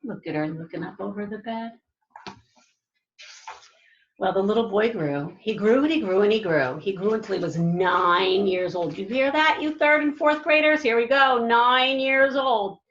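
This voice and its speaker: A woman reading a children's storybook aloud like a teacher, with drawn-out, dramatic emphasis and asides to her young listeners. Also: medium pitch, a bit bright, roomy sound, dull audio, slight background noise. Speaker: elementary school teacher reading aloud to pupils.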